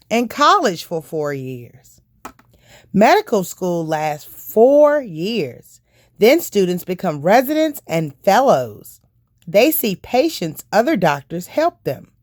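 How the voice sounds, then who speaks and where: Slow, expressive woman reading to children. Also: mid-range voice, no room sound, clean studio sound. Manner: careful, deliberate phrasing. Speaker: read-aloud narrator for children. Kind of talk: scripted storybook narration.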